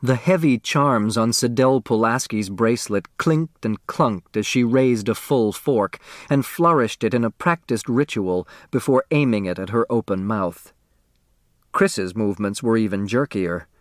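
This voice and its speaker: A man narrating a novel as an audiobook, in a measured, expressive storytelling manner. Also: medium pitch, dry recording, clean studio sound.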